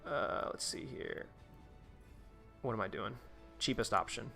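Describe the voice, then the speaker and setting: A man's voice: on the low side, unhurried, vocal fry, pushed and bright, soft background music. A man live-streaming a tycoon game, chatting casually with his viewers in a rambling, profane way while he plays. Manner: rambling, profane, talks to chat while playing.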